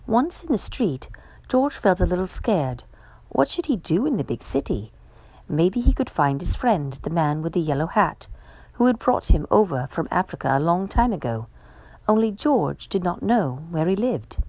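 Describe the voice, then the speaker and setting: A woman reading a children's picture book aloud as a storyteller, with expressive, measured delivery. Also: lowish voice, dry recording. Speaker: storytime reader.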